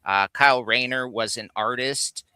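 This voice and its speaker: A man's voice: mid-range voice, normal speed, bright, forceful tone, clean tone, dry recording, studio quality. A man in casual, explanatory conversation as a co-host on a geek talk stream. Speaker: guest co-host on a geek countdown livestream. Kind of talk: casual chat.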